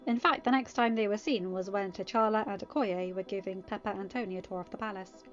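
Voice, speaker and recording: A woman giving an expressive scripted narration of a story, as a podfic reader. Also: mid-range voice, fairly quick. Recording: slightly dull recording. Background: music.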